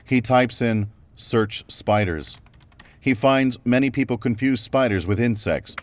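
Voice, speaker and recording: An actor serving as the measured narrator of a scripted action radio drama. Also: male voice, medium pitch, dry recording, natural voice.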